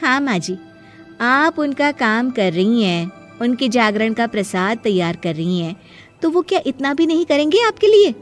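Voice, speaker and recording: A high, pointed voice for a defiant young daughter-in-law , acted by a woman. She argues back sharply in animated moral-story style, over faint music. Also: moderate pace. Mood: smug. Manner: argumentative, pointed.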